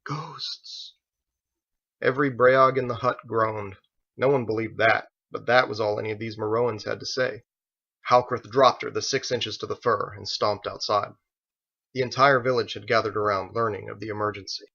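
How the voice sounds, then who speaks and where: Male audiobook narrator, forceful and gravelly, reading a story on a dull lo-fi recording. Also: lowish voice, steady tone, moderate pace. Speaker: author reading own novel aloud.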